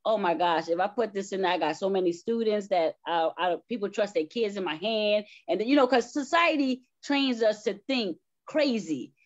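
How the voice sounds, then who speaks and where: A woman's voice: mid-range voice, moderate pace, hardly any pauses. A woman who is a stage play cast member, talking casually in an interview and repeating her points for emphasis. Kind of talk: casual conversational talk. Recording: dull, lo-fi sound.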